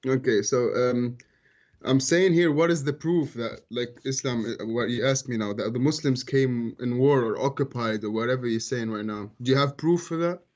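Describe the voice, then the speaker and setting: A man's voice: medium pitch, normal speed, darkish tone. Guy from tunisia arguing on a call, lots of like and bro, kinda muffled line. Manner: casual, hesitant, many fillers like "like" and "bro". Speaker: caller. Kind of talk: debate call.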